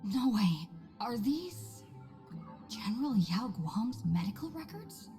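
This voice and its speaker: गंभीर, भावुक महिला साथी, नरम गहरे रंग की आवाज़, हल्का संगीत. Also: female voice, fairly high pitch, sing-song, slow pace, dark tone, faint music behind, heavily acted. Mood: shocked. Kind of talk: video game character acting.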